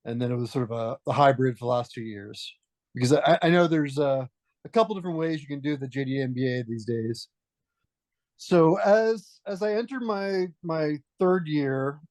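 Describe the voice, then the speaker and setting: Male podcast guest, slightly high voice, halting and reflective, dead-dry recording. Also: darkish tone, dry recording. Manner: hesitant, restarts phrases often. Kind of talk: reflective conversational storytelling.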